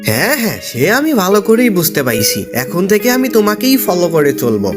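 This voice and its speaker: A man's voice: high voice, huge pitch swings, fast. A young man with a Bangladeshi accent, narrating a comic story playfully to viewers with mock complaints. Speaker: cartoon narrator playing the son. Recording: music behind the voice.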